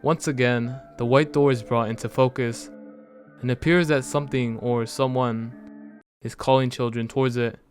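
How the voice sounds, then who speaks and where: A man giving a measured, serious scripted narration in a storytelling manner, as the narrator of an analysis video. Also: mid-range voice, steady tone. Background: music under the voice.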